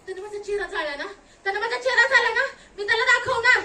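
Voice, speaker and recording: A woman's voice: very high voice, quick pace, sharp tone, heavily acted. A woman playing an anguished, defiant young acid attack survivor in a melodramatic, tearful theatrical monologue, repeating her phrases. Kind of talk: theatrical one-act stage monologue. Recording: echoey room, distant mic, noisy.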